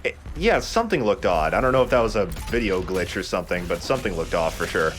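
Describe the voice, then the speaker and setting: A man's voice: low-pitched, sing-song, fast, fairly bright tone, soft background music. A man hosting a let's play with chatty, casually sweary gaming commentary.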